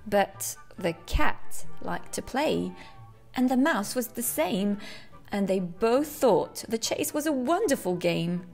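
A female children's book narrator reads rhyme in a slow, highly varied, theatrical voice. There is some creak in her tone and classical music plays behind her. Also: lively intonation, darkish tone. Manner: theatrical, dramatic phrasing. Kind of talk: expressive rhyming storybook read-aloud.

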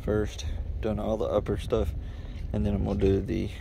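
A man's voice: lowish voice, monotone, normal speed, gravelly, warm tone, a little hiss or hum. A man in his forties, narrating a casual boat vlog and talking to the camera from his sailboat. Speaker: vlogger. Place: sailboat outdoors on the water. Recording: background music under the voice.

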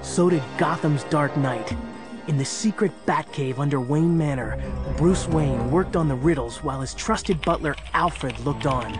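An actor playing an eager young sidekick, narrating a children's audio drama in a dramatic scripted style. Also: male voice, fairly high pitch, measured pace, over music, lightly acted. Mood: earnest storytelling. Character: eager young male sidekick telling case history.

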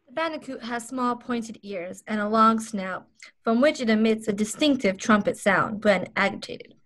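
A woman's voice: on the high side, normal speed, fairly bright tone, close to an everyday voice, low-quality recording. A woman playing an earnest narrator of a television wildlife documentary in a table read. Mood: informative.

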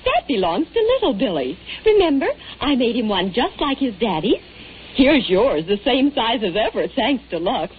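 An actress playing a sweet, cheerful young housewife in a 1940s radio commercial. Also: female voice, fairly high pitch, very animated, normal speed. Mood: cheerful, reassuring. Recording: tinny, faintly noisy 1940s radio recording.

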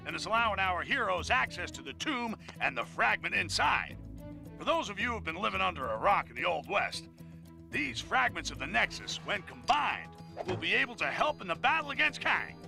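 An actor playing a blustery, self-important middle-aged newspaper boss ranting on air in an animated video game. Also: male voice, high voice, moderate pace, gravelly, faint music behind, full character voice. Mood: blustering, self-assured. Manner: brash, emphatic, self-important. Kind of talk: animated game cutscene news rant.

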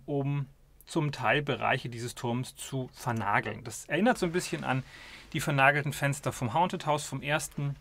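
A man in his thirties calmly explaining a model as he turns it in his hands, talking casually at a table indoors. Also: a little high. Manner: explains calmly while handling and turning a model. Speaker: toy model reviewer.

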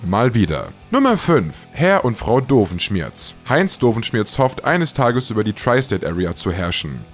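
A man's voice: lowish voice, very animated, unhurried, few pauses, faint music behind. A man reading a scripted countdown narration as the presenter of a video.

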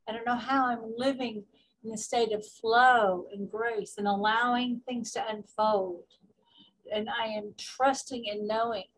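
A woman guiding a meditation as a spiritual coach, speaking deliberately in short phrases with pauses and repetition. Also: fairly high pitch, fairly bright tone, low-quality recording. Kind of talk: guided meditation with spoken affirmations.

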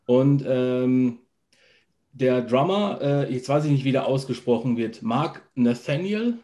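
Mid-pitched man hosting a casual interview. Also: animated, measured pace, dark tone. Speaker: interviewer, host. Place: living room on a video call.

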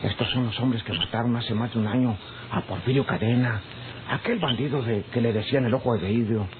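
A man's voice: medium pitch, a little fast, natural voice. Radio serial: male country henchman, acted, rural Mexican accent, folksy, stubborn, phone-like noisy sound. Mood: insistent. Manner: plain-spoken, folksy, insistent. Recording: tinny, noisy old radio recording.

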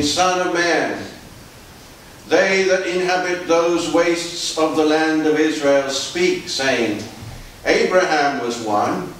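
A man giving a formal, solemn, measured scripture reading as a priest in a church. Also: fairly high pitch. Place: small church, standing at lectern. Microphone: distant camera microphone. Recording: echoey church, distant mic, faint hiss.

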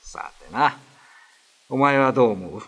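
A man's voice: mid-range voice, measured pace, fairly bright tone, breathy edge, voice pushed up. A man playing a cautious, protective fisherman father in a radio drama, speaking firmly and admonishingly. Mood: firm, chiding. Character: gruff, cautious fisherman father of a young boy.

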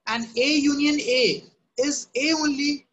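A woman's voice: sits high, fairly even intonation, measured pace, a bit bright. A woman teaching a live online math class, often asking "right?" and "okay?" to check on students. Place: home room.

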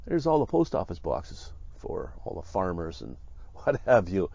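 A man casually narrating his walk through abandoned houses, easygoing and halting, with frequent chuckles. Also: low voice, huge pitch swings, gravelly, warm tone, no room sound, highs cut off. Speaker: vlogger. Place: outdoors in a rural ghost town and inside abandoned houses.

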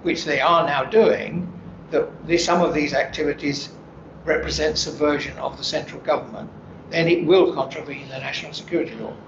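A man in his seventies, a retired official, answering interview questions in a measured way that hedges and qualifies each point. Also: a little high, echoey room, lo-fi sound, noisy background. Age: 70s. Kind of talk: studio interview conversation. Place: green-screen TV studio, seated.

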